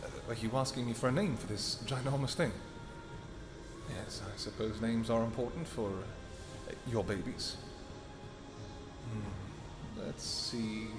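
A man's voice: fairly low pitch, steady tone, over music. A man playing a doting vampire king. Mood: amused. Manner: soft-spoken and affectionate, with hesitant pauses. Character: protective royal vampire husband, doting and tender. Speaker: audio roleplay performer. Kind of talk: scripted audio roleplay to a listener.

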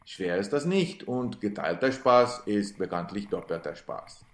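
Guy narrating, dry self-mocking tone, slow pace, roomy reverb. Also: lowish voice, expressive. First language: Swedish. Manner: dry, self-ironic storytelling. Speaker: cyclist narrating his trip video. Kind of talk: scripted voice-over narration.

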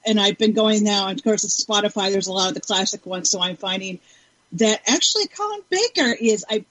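A woman's voice: medium pitch, expressive, brisk, a bit bright, dry recording, rough recording. A woman speaking as a panelist in a casual podcast chat.

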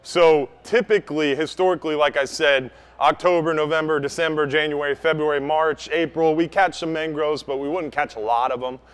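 Emphatic man with a higher-pitched voice, teaching. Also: steady tone, a little fast, few pauses, smooth. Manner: emphatic, practical, speaking up to a group. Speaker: charter fishing captain giving a seminar. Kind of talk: informal instructional talk to a live audience. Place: inside a large outdoor goods store. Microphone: camera a few meters away.

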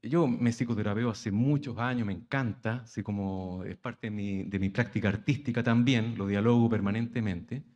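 A Chilean man, an actor, speaking from the audience at a conference panel in a soft, halting, reflective way with many pauses. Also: on the low side, fairly even intonation, highs cut off. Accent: Chilean Spanish. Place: university conference hall.

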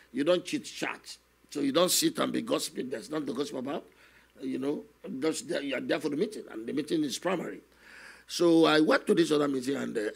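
An older man, a guest minister telling his testimony slowly and earnestly in a studio interview, often adding "you know". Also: on the high side. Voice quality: gravelly, husky. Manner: slow, earnest storytelling with many "you know" asides. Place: television studio, seated on a set. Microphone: stand microphone in front of him.

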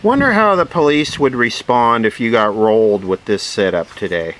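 A man giving casual, hands-on unboxing commentary, musing aloud in short phrases with pauses between them. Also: medium pitch. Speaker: vlogger unboxing vintage radio gear. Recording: steady background noise.

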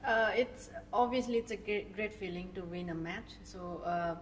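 A woman's voice: mid-range voice, normal speed. A woman cricket player from Nepal with a Nepali accent, answering casually at a press conference. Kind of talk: casual post-match interview answers. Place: indoor press conference room. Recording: distant mic, faint noise.

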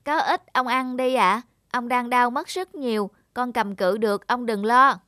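A woman voicing a dutiful, anxious young male servant, deferential and earnest, in an animated folk tale. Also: on the high side, unhurried, no room sound. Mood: caring, earnest.

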